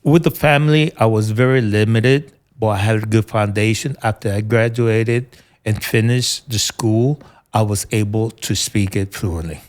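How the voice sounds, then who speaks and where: A middle-aged man with a New York accent, a military veteran answering interview questions earnestly, often pausing to search for words. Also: on the low side, fairly even intonation. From United States. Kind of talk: conversational interview.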